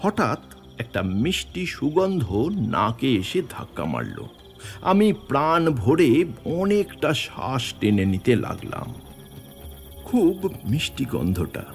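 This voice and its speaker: A man narrating a horror story in the first person, with suspenseful, theatrical, deliberate phrasing over music. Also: medium pitch, very animated, vocal fry.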